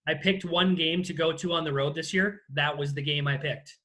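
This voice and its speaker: A man's voice: a little high, fairly even intonation, normal speed, a bit bright. A man hosting a casual interview over a video call, asking his guest questions. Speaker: interview host.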